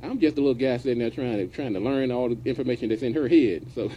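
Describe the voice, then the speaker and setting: A man's voice: medium pitch, fast, mellow, lo-fi sound, a little hiss or hum. A middle-aged man hosting a business radio show, talking in a folksy, casual way and now and then dropping verbs. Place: radio studio.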